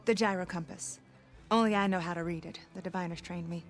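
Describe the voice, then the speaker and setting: An actress playing a despondent, homesick female navigator in a video game, with a fatalistic and measured way of talking. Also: fairly low pitch, steady tone, close to an everyday voice. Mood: explanatory. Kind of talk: video game cutscene acting. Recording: music behind the dialogue.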